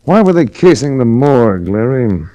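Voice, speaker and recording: A man's voice: mid-range voice, big pitch swings, normal speed, warm tone, dry recording, close to an everyday voice. An actor playing a dry, sardonic male insurance investigator who narrates a 1940s radio drama. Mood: wry. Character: wry hard-boiled male insurance investigator. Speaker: detective narrator. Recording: restored old radio broadcast.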